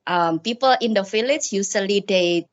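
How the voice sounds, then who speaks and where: A woman from Indonesia, a guest at a roundtable, telling her story in a halting way with many pauses and fillers. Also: mid-range voice, highs cut off. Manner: halting, many ums and uhs. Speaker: franchise owner, roundtable guest.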